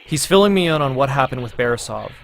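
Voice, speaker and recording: A man's voice: expressive, fast talker, gravelly. A man presenting a game walkthrough as its producer, in scripted demo narration. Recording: noisy low-quality promotional video audio.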